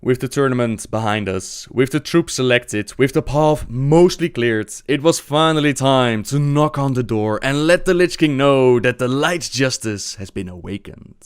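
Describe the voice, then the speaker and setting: Casting: male narrator for fantasy game recaps, smooth clear voice, mid pitch, dramatic storyteller phrasing. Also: animated, normal speed, hardly any pauses.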